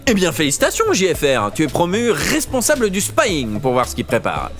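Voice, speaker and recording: A man's voice: on the high side, huge pitch swings, fairly quick, faint music behind. A man playing a smug, pompous start-up boss in a comedy audio drama, peppering his talk with English buzzwords. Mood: approving. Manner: pompous corporate patter full of English buzzwords. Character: smug jargon-spouting male start-up boss.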